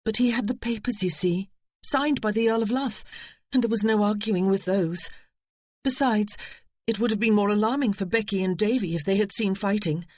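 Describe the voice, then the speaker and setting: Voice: medium pitch, moderate pace, no echo, studio quality, pitched above the performer's own voice, lightly acted. A narrator voicing an anxious young lady companion, explaining in a breathless rush. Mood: upset.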